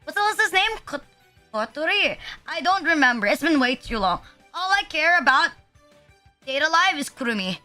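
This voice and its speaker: Bright, high-voiced young woman streamer. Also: sing-song, moderate pace, faint music behind. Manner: rambling, casually profane, self-deprecating. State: sniffly, stuffed nose. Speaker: VTuber streamer. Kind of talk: casual livestream chat.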